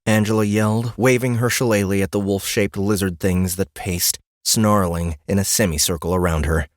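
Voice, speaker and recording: Low-pitched man narrating a fantasy audiobook. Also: fairly even intonation, normal speed, no echo, studio quality.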